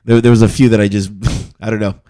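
A man co-hosting a podcast in casual chat, often laughing and halting with many fillers and restarts. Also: lowish voice, little pitch movement, warm tone. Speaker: podcast co-host.

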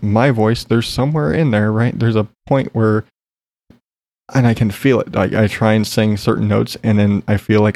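A man's voice: on the low side, vocal fry, darkish tone, no echo. A man talking in a casual solo podcast, rambling with lots of fillers and casual swearing, still congested after being sick. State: recovering from illness, congested.

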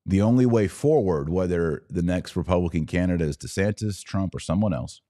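A man's voice: deep voice, brisk, mellow. A man hosting a podcast, giving conversational religious and political commentary in an emphatic, opinionated way with short declarative statements.

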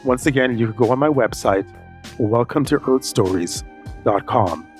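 Man, middle pitch, podcast host, addressing guest and listeners, faint music behind. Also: expressive, moderate pace. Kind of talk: podcast hosting and scripted outro.

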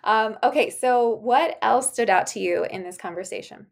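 A woman's voice: on the high side, normal speed, gravelly. A woman co-hosting a podcast in casual chat, asking questions and laughing easily. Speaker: podcast co-host.

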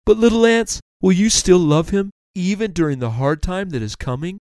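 A man voicing a gentle, encouraging turtle in a children's story, earnest and reassuring. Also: high voice, moderate pace, dry recording, clean studio sound, voice pushed up, close to an everyday voice.

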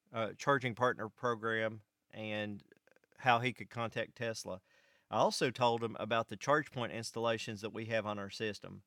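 An American man telling a first-person story to an audience in measured, short phrases, as a vlog host. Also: mid-range voice, a bit bright, smooth, no echo, clean studio sound. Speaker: vlog host and electric cooperative employee.

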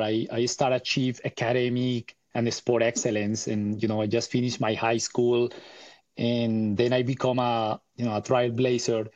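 A man in his forties with a Colombian accent, a native Spanish speaker telling his personal story as a podcast guest in halting, non-native phrasing. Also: medium pitch, a little mellow, lo-fi sound. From Bogota, Colombia. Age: 40s. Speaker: podcast guest, technical solutions architect.

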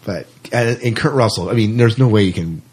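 Male podcast host, lowish pitch, husky tone, casual and affirming. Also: darkish tone. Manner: agreeable, quick to laugh and affirm.

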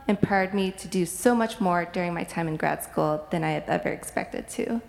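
A young woman reads an earnest, reflective testimony with strong vocal fry. Her voice rings through a church sanctuary with a long reverb tail. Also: mid-range voice. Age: young adult. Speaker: graduate student speaker in church service. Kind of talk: prepared testimony.

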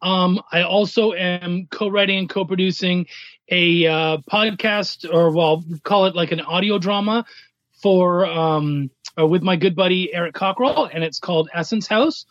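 High-pitched man on a muffled call-in line. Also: a little slow, a bit bright, dry recording. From Canada. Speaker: podcast guest, comic writer and editor. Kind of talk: casual conversational interview. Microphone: remote call-in.

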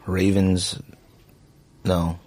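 A man's voice: low-pitched, flat delivery, drawn-out words, a little mellow, slight background noise. A man co-hosting a podcast, talking football in a laid-back, rambling way. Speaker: podcast co-host. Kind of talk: casual sports debate.

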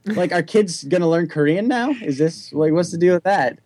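A young man hosting a casual music chat, telling loose, animated stories and swearing freely. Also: high-pitched, normal speed, no echo. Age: young adult. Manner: animated, loose and profane storytelling.

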